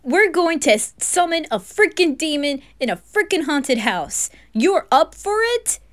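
A woman's voice: high-pitched, big pitch swings, measured pace, pitched above the performer's own voice. A woman voicing a jumpy, easily spooked young man who blusters and protests in an anime fan roleplay. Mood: alarmed, exasperated.

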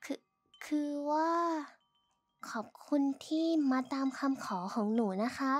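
A woman's voice: high-pitched, darkish tone, no room sound. A woman voicing a shy, cute young schoolgirl in an anime style, hesitant and earnest. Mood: shy, nervous. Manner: hesitant, halting. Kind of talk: anime-style voice pack acting.

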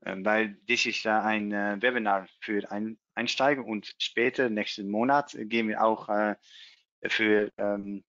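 A man with a Dutch accent, presenting a trading webinar in German as a non-native speaker, halting and searching for words with many fillers, over a video call. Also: fairly low pitch, fairly even intonation, a bit bright, no room sound. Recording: dull lo-fi video call audio.

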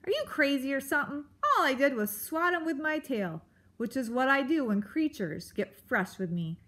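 A woman's voice: high voice, lively intonation, moderate pace, fairly bright tone, voice pushed up. A woman voicing a cantankerous old male storybook porcupine, blustering and exclaiming crude, colourful insults. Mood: exasperated.

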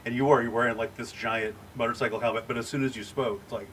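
A man's voice: mid-range voice, steady tone, fast, a bit bright. A man hosting a casual interview, keen and chatty, giving short prompts. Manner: enthusiastic, brief prompts and "right". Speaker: show host, interviewer. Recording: distant from mic with faint noise.

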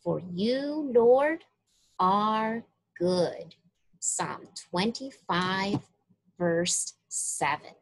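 A woman reading a Bible story aloud to young children, with deliberate, emphatic phrasing. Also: mid-range voice, expressive, poor audio.

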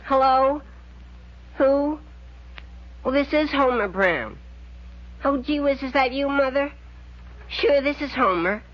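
A man's voice: extremely high pitch, animated, slow, pauses often, muffled recording, full character voice. An actor playing a naive, gluttonous teenage boy in 1940s radio comedy, whiny, on a noisy old recording. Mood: flustered. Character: Homer, gluttonous, slow-witted good-natured teenage boy.

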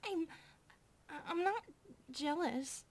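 A woman playing a polite young centipede maid, a protective elder sister, hesitant and apologetic, soft, breathy and often whispering in an ASMR roleplay. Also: extremely high pitch, lively intonation, slow pace, exaggerated voice. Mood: flustered, apologetic. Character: shy, proper twenty-one-year-old centipede maid, protective elder sister.

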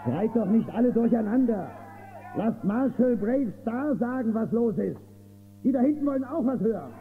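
An actor playing a brisk, bold man, an ally in a space western audio drama. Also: very high voice, lively intonation. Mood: scolding. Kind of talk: German children's audio drama acting. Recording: tinny, noisy old cassette audio drama.

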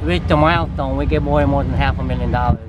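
An older man from Korea with a Korean accent, talking haltingly with many fillers as a breeder in a casual outdoor interview. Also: medium pitch, steady tone. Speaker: reptile breeder and farm owner. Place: outdoors beside reptile enclosures. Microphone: camera mic, close framing. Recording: outdoor recording with loud background noise.

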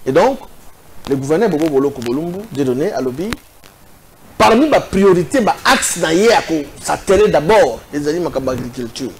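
Un homme d'une quarantaine d'années avec un accent congolais, débattant sur un plateau de télévision d'un ton animé et hésitant, avec beaucoup d'hésitations. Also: male voice, fairly high pitch, moderate pace, noisy background. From Équateur province, DR Congo. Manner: animated, hesitant, many fillers, gesturing. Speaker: political commentator. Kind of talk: TV debate. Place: TV studio set.

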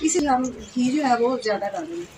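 Woman in her thirties, highish voice, chatty step-by-step cooking talk, outdoor camera sound with noise. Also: expressive, brisk. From Pakistan. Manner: chatty, peppers speech with "ji", step-by-step explaining. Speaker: vlogger cooking. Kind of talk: casual cooking vlog narration. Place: outdoor village rooftop courtyard.

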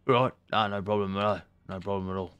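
Guy doing a chill kiwi butcher, rambly and sweary, lowish voice. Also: steady tone, quick pace, a bit bright, clean tone, natural voice. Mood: easygoing. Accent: New Zealand. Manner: chatty, swears a lot, rambling. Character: laid-back Kiwi butcher man taken hostage. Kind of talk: roleplay in a video game.

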